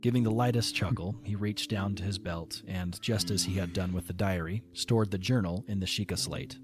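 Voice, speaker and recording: A man giving scripted narration for a fan audio drama, in a measured, even storytelling manner. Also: deep voice, over music.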